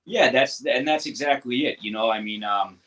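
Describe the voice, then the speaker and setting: A man in his thirties talking casually as a co-host in a relaxed group chat. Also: medium pitch, normal speed. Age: 30s.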